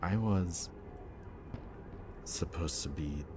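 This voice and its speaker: A man playing a bitter, remorseful villain in an audio roleplay, confessing in a low, intimate voice that sometimes drops to a whisper. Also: extremely slow, music in the background. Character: remorseful bitter male villain, young adult. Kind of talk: audio roleplay addressed to the listener. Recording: steady ambient background noise.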